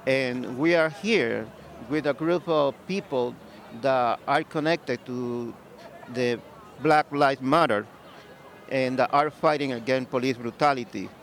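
A man's voice: medium pitch, vocal fry, fairly bright tone, slight background noise. Venezuelan-accented man at a street rally. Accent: Venezuelan Spanish. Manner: earnest, halting, with many fillers. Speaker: activist interviewee. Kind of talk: street interview. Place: outdoors at a city street rally.

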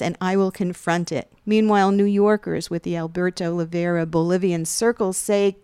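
A woman anchoring a newscast, reading scripted reports in a steady, measured way. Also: fairly low pitch, smooth, dry recording. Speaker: news anchor. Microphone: studio mic.

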